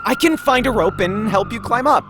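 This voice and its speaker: Man playing very high-pitched, anxious young man. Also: animated, normal speed. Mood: alarmed, protesting. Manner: nervous, protesting, hesitant. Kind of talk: dramatic visual novel character reading. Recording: sirens and street noise behind voice. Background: sirens, cars.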